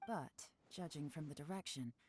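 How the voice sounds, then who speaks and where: A woman playing a shrewd, composed investigator in a video game, speaking in a measured, knowing, analytical way. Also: low-pitched, darkish tone, slight background noise, lightly acted. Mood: quietly suspicious. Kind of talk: video game character dialogue. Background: game music.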